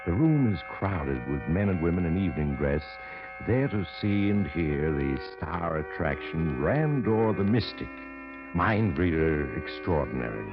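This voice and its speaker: Casting: ominous mystery host, deep and theatrical, courteous, vintage radio suspense, music swelling loud behind. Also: male voice, lively intonation, a little slow, lo-fi sound. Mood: scene-setting. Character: eerie, genial male mysterious storyteller, darkly inviting. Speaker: host and narrator. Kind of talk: 1940s radio suspense narration.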